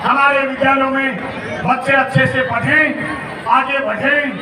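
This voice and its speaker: Man yelling a fiery holiday speech outside, echoey loudspeaker heard from far off, crowd noise all around. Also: very high-pitched, level tone, moderate pace. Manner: rousing, declamatory, often shouting. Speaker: member of state legislature. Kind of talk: public speech at Independence Day ceremony. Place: outdoor public ceremony ground.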